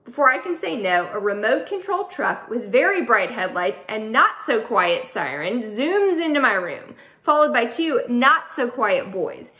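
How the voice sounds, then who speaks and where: A woman author reading her middle grade novel aloud, with an expressive storytelling delivery. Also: fairly high pitch, a little slow, few pauses. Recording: echoey room.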